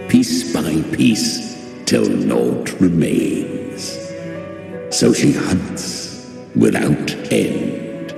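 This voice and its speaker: Abyssally deep, gravelly demon beast. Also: male voice, full character voice. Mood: menacing, gloating. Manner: slow, archaic, ominous storytelling speech. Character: ancient, menacing devouring demon beast, a chimera. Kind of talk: dramatic video game villain narration. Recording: cavernous reverb, music underneath.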